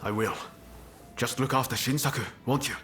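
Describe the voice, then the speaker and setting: An actor playing a plain-spoken, resolute samurai activist in a video game cutscene, talking casually and directly. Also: male voice, medium pitch, moderate pace, fairly bright tone, faint music behind, natural voice. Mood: determined.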